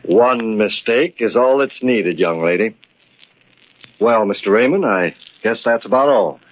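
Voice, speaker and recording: Casting: stern, no-nonsense senior police inspector, radio detective drama, deepish voice, dull crackly vintage sound. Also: male voice, lively intonation, a little slow. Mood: commanding. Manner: curt, commanding, skeptical. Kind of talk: old-time radio detective drama acting. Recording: dull, noisy old radio recording with clicks.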